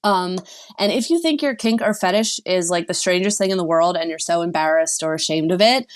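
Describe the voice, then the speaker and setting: A woman's voice: fairly low pitch, fairly quick. A woman sex and relationship coach, a podcast guest, giving frank and encouraging advice. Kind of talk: podcast interview.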